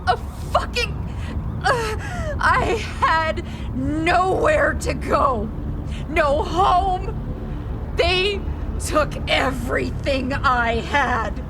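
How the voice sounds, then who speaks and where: A woman's voice: sits high, lively intonation, drawn-out words, noisy background, heavily acted. An actress playing a bitter, unhinged young woman killer who screams and sobs through laughter. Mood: raging, tearful. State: crying. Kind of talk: audio drama acting.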